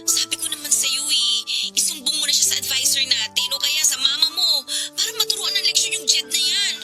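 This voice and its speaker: A woman's voice: high voice, a little fast, music in the background, natural voice. A woman playing a concerned, earnest schoolgirl best friend who urges and cares, in a radio drama. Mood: indignant, urging. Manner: earnest, urging, caring.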